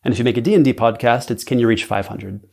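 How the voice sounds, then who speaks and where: Creaky-voiced man chatting in an interview. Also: medium pitch, a little mellow. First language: English. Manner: mixes English with halting German. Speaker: podcast guest, audio drama creator. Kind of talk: casual interview chat.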